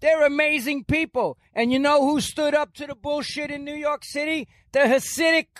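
A very high-pitched man in his sixties rants loudly, cursing constantly as a podcast guest. His voice is dry and close, with no room around it. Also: fairly even intonation, moderate pace, dry recording. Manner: loud, profane, ranting. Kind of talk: heated podcast conversation.

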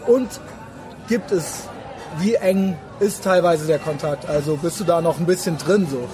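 A man's voice: a little high, unhurried. A man interviewing someone in a casual, colloquial way, often putting in short words of agreement. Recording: music and crowd noise behind.

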